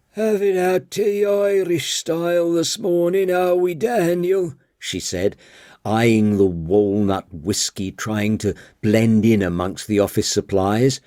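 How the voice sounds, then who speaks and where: A man in his thirties reading a literary novel aloud as audiobook narrator, deliberate and wordy. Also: low voice, darkish tone, no room sound, studio quality.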